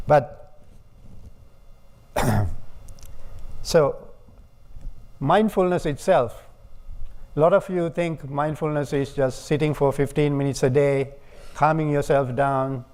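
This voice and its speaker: A man from Sri Lanka giving a public lecture as a guest speaker, talking in measured, halting phrases. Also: medium pitch, a little mellow.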